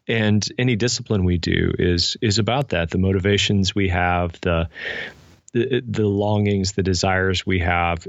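A man's voice: sits low, vocal fry, no echo. A man speaking reflectively and conversationally as an interview guest, on a somewhat lo-fi recording. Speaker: podcast guest, spiritual director and author. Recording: dull, lo-fi, dry sound.

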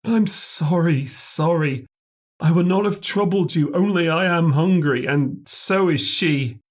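Guy doing a desperate castaway out at sea, voice pitched up, pleading and humble, scared, old timey formal talk, storybook. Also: measured pace, darkish tone, close to an everyday voice. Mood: hopeless, apologetic. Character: unseen castaway man at sea, hopeless, pleading, fearful, humble.

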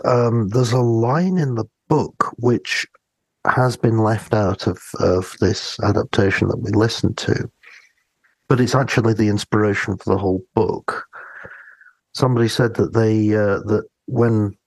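A man co-hosting a podcast in casual discussion, speaking haltingly as he searches for his words. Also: fairly low pitch, creaky, a little mellow, husky, no echo. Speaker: podcast co-host.

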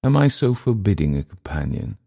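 A man's voice: low voice, very animated, brisk, creaky, mellow, full character voice. A man voicing a world-weary, domineering settler in an audiobook story, speaking with emphatic, teasing scorn. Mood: mocking. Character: domineering, mocking older male jungle settler, world-weary. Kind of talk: audiobook character dialogue.

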